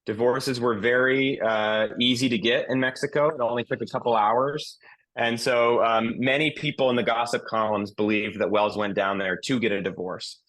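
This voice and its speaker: Male podcast panelist, medium pitch, explaining background in an informal conversational style. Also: steady tone, normal speed, fairly bright tone, low-quality recording.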